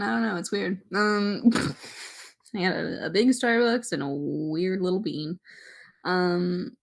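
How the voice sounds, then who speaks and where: A young woman co-hosting a podcast in casual chat, giggly and animated, full of "like" and "um". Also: on the low side, gravelly. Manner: giggly, filler-heavy, animated. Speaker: podcast co-host.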